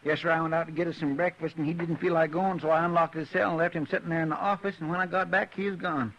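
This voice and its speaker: An actor playing a loyal, worried young frontier deputy with a folksy drawl in a 1950s radio drama. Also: male voice, a little high, steady tone, fast talker, fairly bright tone, slight background noise. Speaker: deputy Chester. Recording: dull old radio broadcast.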